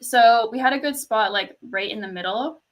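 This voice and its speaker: A young woman from Canada with a fairly quiet speaking voice, telling a story in casual chat with lots of "like" and laughter. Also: on the high side, moderate pace. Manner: storytelling, laughs often, says "like" a lot.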